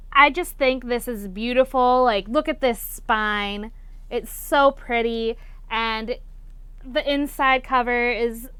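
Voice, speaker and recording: A woman's voice: a little high, fairly bright tone, clear, resonant tone, dry recording. A young woman chatting casually and enthusiastically as a vlog host, gushing and repeating words for emphasis. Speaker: book reviewer, vlog host. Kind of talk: casual book vlog chat.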